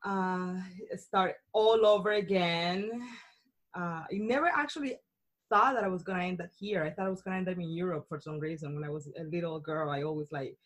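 A woman's voice: on the low side, brisk. A woman of about forty from Colombia, a native Spanish speaker with a Colombian accent, talking about her life on a casual podcast. She is bubbly and laughs often. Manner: bubbly, laughs often, chatty with frequent restarts. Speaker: podcast host being interviewed.

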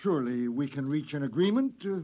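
An anxious American settler patriarch in a vintage radio play, hesitant and bargaining. His voice sits fairly high and moves widely. The recording is dull. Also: animated, brisk. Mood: wary, conciliatory. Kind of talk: 1950s American radio drama acting. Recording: muffled old radio recording.

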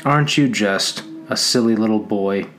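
A man's voice: lowish voice, moderate pace, fairly bright tone, a little hiss or hum, lightly acted. A man reading as the taunting Lord of the Flies. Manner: mocking, coaxing then threatening. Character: menacing talking pig's head, sly and taunting.

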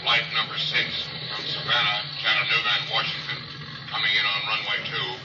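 An actor playing a formal, droning airport announcer over a loudspeaker in a 1940s radio show. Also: male voice, lowish voice, completely flat delivery, fairly quick. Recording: dull, noisy vintage radio recording.

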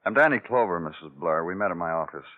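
An actor playing a persistent police detective in a radio crime drama, with clipped, insistent questioning. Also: male voice, low voice, animated, quick pace. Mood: firm, insistent. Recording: muffled old radio broadcast recording.

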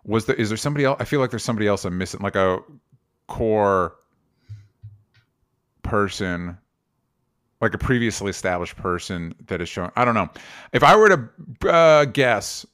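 A young man co-hosting a casual pop-culture podcast, talking in an animated, rambling way with lots of restarts. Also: on the low side, moderate pace, pauses often. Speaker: podcast co-host.

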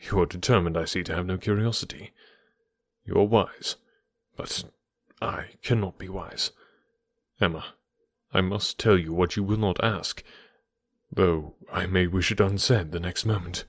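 A man voicing a grave gentleman who speaks in a low, subdued, earnest way. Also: fairly even intonation, a little slow, no room sound, studio quality.

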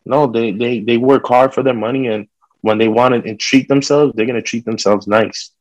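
A man's voice: medium pitch, fairly even intonation, normal speed, no echo, poor audio. A man in casual conversation, talking informally with street slang.

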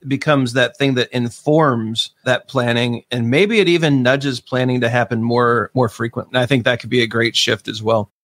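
A man's voice: mid-range voice, steady tone, normal speed, few pauses, a bit bright, dry recording. A man hosting a podcast, talking casually and upbeat about work topics. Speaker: podcast host.

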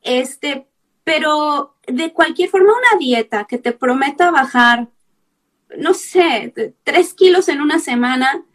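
High-voiced woman hosting an interview. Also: animated, slow pace, a bit bright. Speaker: host and fellow nutritionist. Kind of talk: interview, live questions.

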